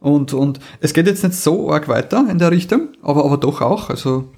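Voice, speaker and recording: A man's voice: fairly high pitch, expressive, a little fast, darkish tone. A man with an Austrian accent co-hosting a podcast in casual chat, often repeating words as he thinks aloud. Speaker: podcast co-host.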